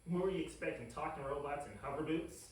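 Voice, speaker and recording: A performer acts out a wicked male scientist from a cartoon comedy, scheming yet awkward. The delivery is halting and deadpan. It sounds very roomy and a little off-mic, with faint background noise. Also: mid-range voice, close to an everyday voice. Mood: dismissive. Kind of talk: animated comedy table read. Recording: echoey room, distant mic, faint noise.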